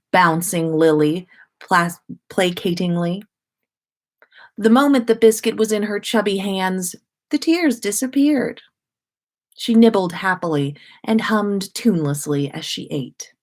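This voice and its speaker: A woman reading a story aloud as expressive narration, like a host at story time. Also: on the low side. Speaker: host reading listeners' stories on a livestream.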